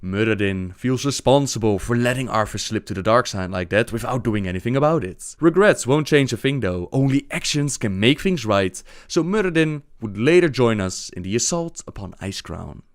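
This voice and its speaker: A man's voice: mid-range voice, lively intonation, normal speed, hardly any pauses, dry recording. Man narrating game lore, dry close sound. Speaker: video host.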